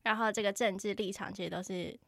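A woman's voice: mid-range voice, steady tone, quick pace, gravelly, a bit bright, dry recording. A woman with a Taiwanese accent chatting casually as a podcast guest. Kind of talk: casual chat. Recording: busy chatter in the background. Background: people talking nearby.

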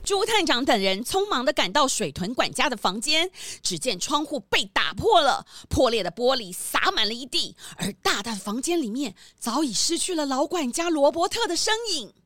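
A woman as the animated, suspenseful narrator of a children's mystery story, reading scripted narration. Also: high voice, normal speed, hardly any pauses, dry recording, clean studio sound, full character voice. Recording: music behind the voice.

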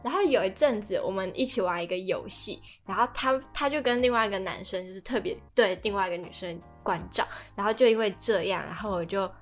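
A young adult woman co-hosting a casual podcast, telling things in an animated way and acting out remarks. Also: medium pitch, a little fast, hardly any pauses, soft background music. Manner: animated storytelling, acts out quoted remarks. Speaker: podcast co-host. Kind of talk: casual conversational podcast chat.

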